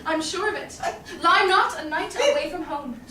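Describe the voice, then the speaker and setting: A young woman playing a proud, reproachful, sharp-witted noble wife in a staged Shakespeare reading, declaiming indignantly. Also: very high voice, animated, moderate pace, full character voice. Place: small indoor theatre stage. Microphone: far audience camera. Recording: roomy, noisy distant audience camera.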